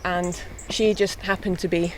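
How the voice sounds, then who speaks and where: A woman's voice: lowish voice, measured pace, rough recording. Young woman chatting on an outdoor walk. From born in England, raised in mid Wales. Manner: relaxed, chatty, laughs lightly. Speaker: harpist and guest. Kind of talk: casual walking interview. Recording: faint outdoor noise.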